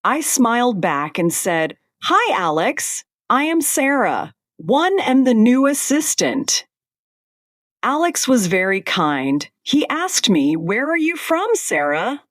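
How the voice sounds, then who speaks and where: Woman, storyteller, very slow, plain short sentences, animated intonation, dry. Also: fairly high pitch, no echo. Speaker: storyteller in a lesson. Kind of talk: slow scripted story reading.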